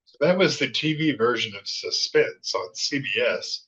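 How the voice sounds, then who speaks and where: A man hosting a show with casual, somewhat halting commentary, in slightly muffled sound. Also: medium pitch, lively intonation, bright, forceful tone. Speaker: show host. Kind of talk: casual commentary on vintage television.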